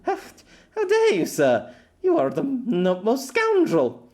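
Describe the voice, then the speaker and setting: A man's voice: very high-pitched, wildly swooping pitch, slow, darkish tone, voice pushed up, full character voice. A man voicing an easily flustered young warrior maiden who speaks in formal, archaic, theatrical phrases. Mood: indignant, flustered.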